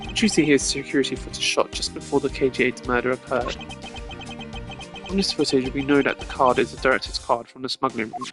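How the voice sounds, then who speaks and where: A man voicing a composed, determined prosecutor from a video game, speaking formally and in measured phrases. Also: medium pitch, music in the background, lightly acted. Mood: resolute. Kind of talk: video game dialogue acting.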